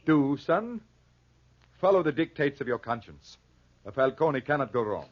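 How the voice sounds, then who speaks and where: An actor playing a proud, commanding and trusting family patriarch in a 1940s radio drama. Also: male voice, mid-range voice, lively intonation, moderate pace, natural voice. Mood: fatherly. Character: proud, gruff Falcone father, commanding and trusting. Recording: muffled, dated 1940s radio recording.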